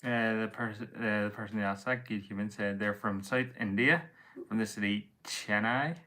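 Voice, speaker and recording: A man's voice: on the low side, moderate pace, sharp tone. A man acting as co-host on a livestream, briefly relaying viewers' questions and comments in a matter-of-fact way.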